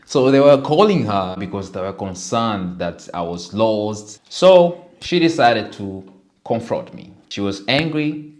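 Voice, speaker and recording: Young Tanzanian man telling his story. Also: on the low side. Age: 20s. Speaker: content creator. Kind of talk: personal storytelling to camera.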